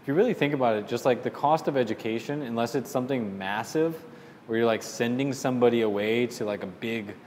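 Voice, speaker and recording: A man's voice: brisk, a little mellow. A man hosting a question-and-answer show, giving informal, opinionated and explanatory advice off the cuff. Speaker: host answering listener questions on salon business. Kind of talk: casual unscripted business advice. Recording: roomy reverberant hall, low rumbling noise.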